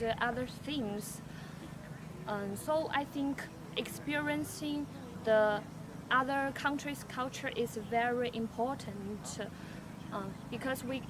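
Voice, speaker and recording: High-voiced woman, slow and careful. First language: Chinese. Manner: slow, careful, with many fillers. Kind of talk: prepared answers for a language project. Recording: street noise behind.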